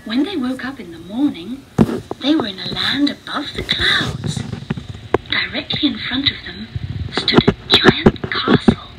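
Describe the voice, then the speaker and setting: Slow-reading woman storyteller, noisy recording. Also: a little high, sing-song. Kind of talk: read-along storybook narration.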